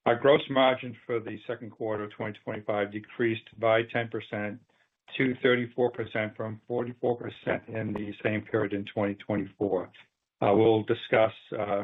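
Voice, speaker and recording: A tinny, narrow phone connection carries a man's fairly low, rather flat voice. He reads prepared financial figures as an executive on an earnings call. His delivery is halting, and he stumbles over phrases as he goes. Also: breathy edge. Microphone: phone or conference line.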